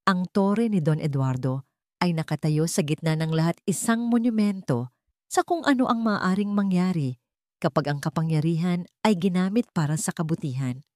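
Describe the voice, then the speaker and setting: Low-voiced woman narrating, close and dry. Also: moderate pace, a little mellow, no room sound. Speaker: audiobook story narrator. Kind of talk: scripted narration of a sentimental drama story.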